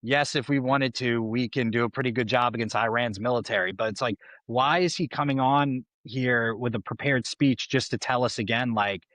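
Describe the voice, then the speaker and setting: A man's voice: medium pitch, moderate pace, few pauses, a bit bright, no echo. A young man co-hosting a political podcast, rambling and exasperated, with many fillers and restarts. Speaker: podcast co-host. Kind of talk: casual political chat.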